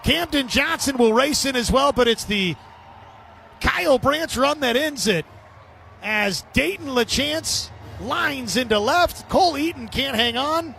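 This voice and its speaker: Male play-by-play radio announcer, emphatic and deliberate, high voice with gravelly creak. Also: lively intonation, bright, forceful tone, slight background noise. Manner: emphatic, drawn-out calls. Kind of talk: excited baseball radio commentary.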